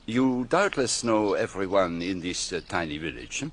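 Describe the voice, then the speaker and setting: An actor playing a courteous, inquisitive retired detective in a radio drama, asking polite, probing questions with small hesitations. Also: male voice, sits low, animated, normal speed, a little hiss or hum. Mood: polite, curious.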